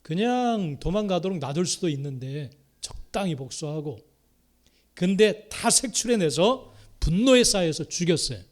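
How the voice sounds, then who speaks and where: Middle-aged male Korean pastor, slightly high voice, sermon delivery with repeated phrases and sharp loud-to-soft shifts. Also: animated, normal speed. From Korea, Chungcheong province. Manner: swings from loud rising exhortation to soft muttered asides; repeats key phrases, calls out hallelujah.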